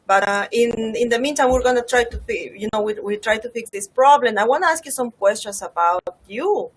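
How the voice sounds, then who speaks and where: A woman's voice: a little high, brisk, a little hiss or hum, low-quality recording. A woman from Bolivia interviewing a guest on a video call, asking questions in a friendly way.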